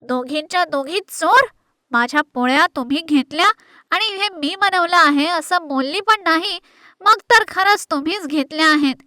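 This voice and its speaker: A very high, sharp-voiced village woman, acted by a woman in an animated folk tale. She scolds indignantly, with a forceful edge and a dry sound. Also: moderate pace, no echo. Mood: angry, accusing. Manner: shrill, accusing scolding. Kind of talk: animated moral story voice acting.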